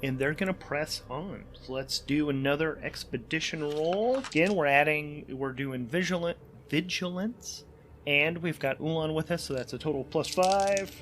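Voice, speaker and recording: Male game host, somewhat high pitch, informal, self-correcting, solo tabletop narration, background ambience, some noise. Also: normal speed, a bit bright. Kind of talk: thinking aloud through a solo tabletop game.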